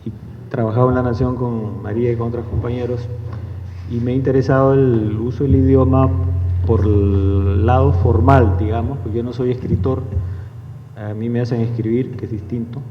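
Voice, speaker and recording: A man's voice: medium pitch, steady tone, moderate pace, a little hiss or hum. A middle-aged man with a Lima accent from Peru, giving a wry, digressive public lecture with many fillers. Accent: Lima Peruvian Spanish. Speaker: guest speaker. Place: lecture hall. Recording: echoey hall, dull lo-fi sound.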